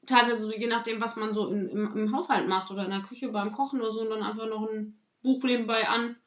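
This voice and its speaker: Higher-pitched woman in an echoey room. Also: steady tone, fast talker. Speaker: podcast co-host. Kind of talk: casual chat.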